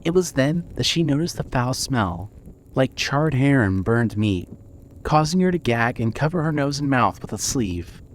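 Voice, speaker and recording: A man's voice: mid-range voice, big pitch swings, normal speed, a little hiss or hum. A man narrating a scripted dramatic story for a fiction podcast.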